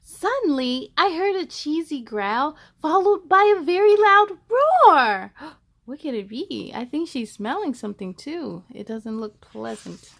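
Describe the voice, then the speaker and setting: A woman hosts a children's storytime in a high voice. She reads at an unhurried, slow pace with animated expression, slipping in casual, wondering asides for young listeners. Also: creaky. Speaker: storytime host reading a children's picture book.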